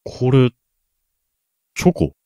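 A man's voice: very slow, warm tone, no echo, studio quality. A young man playing a blunt, sulky, bashful teenage schoolboy in a radio drama. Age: young adult. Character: grumpy, bashful lovestruck schoolboy Ryo. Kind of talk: Japanese student radio drama acting.

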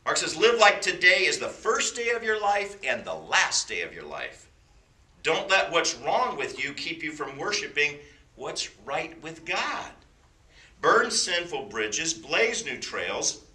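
Bright-voiced man preaching from a distance. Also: a little high, wildly swooping pitch, measured pace. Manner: jokey, self-deprecating asides. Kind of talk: informal sermon with personal stories. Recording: roomy sound from away from the mic.

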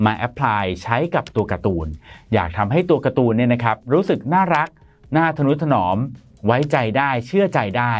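A man in his thirties presenting an explainer to camera in a studio, talking in a polite, chatty way with a lapel mic. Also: medium pitch. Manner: polite, chatty, frequent soft particles. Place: bright studio in front of a plain grey backdrop.

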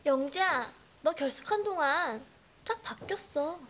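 A woman's voice: sits high, expressive, measured pace, fairly bright tone. An actress playing a gossipy schoolgirl who feigns sympathy in a children's TV drama, with a drawling, pointed delivery. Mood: mock-sympathetic. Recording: faint background noise.

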